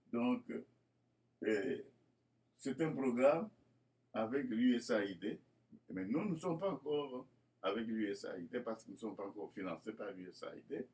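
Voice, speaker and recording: A man's voice: medium pitch, darkish tone. A man from Congo presenting his school project in a formal, churchly way, with many fillers and repeated words. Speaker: school founder addressing inspectors. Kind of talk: hesitant spoken presentation.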